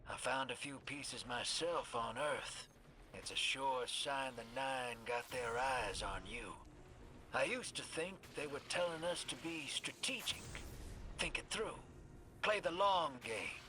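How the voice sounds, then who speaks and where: A man's voice: mid-range voice, unhurried, sharp tone, a little hiss or hum. An actor playing a weary male frontier scavenger with a drawling, folksy, reflective manner. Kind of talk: video game voice acting.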